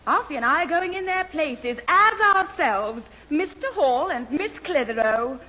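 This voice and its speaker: A woman's voice: high-pitched, animated, measured pace, pushed and bright, heavily acted, poor audio. An actress playing an indignant, plain-speaking young woman in a radio sitcom, exasperated and emphatic. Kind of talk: 1970s radio sitcom acting.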